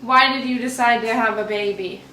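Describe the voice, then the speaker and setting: Bubbly high-voiced young woman. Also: fairly even intonation, normal speed, pushed and bright, distant mic. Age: 20s. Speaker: daughter in family channel. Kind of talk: casual chat. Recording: echoey room with faint noise.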